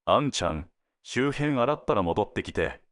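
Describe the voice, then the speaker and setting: A man playing a world-weary senior detective in an audio drama, laconic and deliberate, given to dry teasing jokes. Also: mid-range voice, big pitch swings, very noisy, close to an everyday voice. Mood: wry, businesslike. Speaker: police detective at headquarters.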